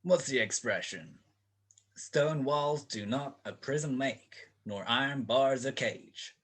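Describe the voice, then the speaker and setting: A man's voice: medium pitch, slow, a bit bright. Man acting a suave, gloating gangster boss. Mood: amused taunting. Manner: mock-courteous, taunting, chuckling. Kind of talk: 1940s radio crime drama acting.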